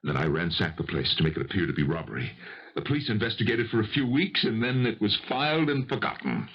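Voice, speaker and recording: An actor playing a ruthless, guilt-ridden businessman in a 1970s radio drama, agitated and melodramatic, with a husky voice. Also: lowish voice, lively intonation, quick pace. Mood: haunted, confessional. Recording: muffled vintage 1970s radio recording.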